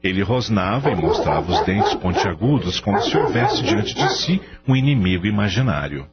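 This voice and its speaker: A man's voice: fairly low pitch, wildly swooping pitch, moderate pace. A man narrating a radio novel as scripted dramatic storytelling. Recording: dull radio-like audio, music behind.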